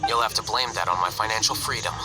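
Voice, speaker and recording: A man's voice: lowish voice, fast talker, lightly acted, poor audio. A male actor playing an aloof young scholar in a video game, coolly sarcastic, with a dry, unruffled and condescending delivery. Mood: cool, unbothered. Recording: loud background music under the voice.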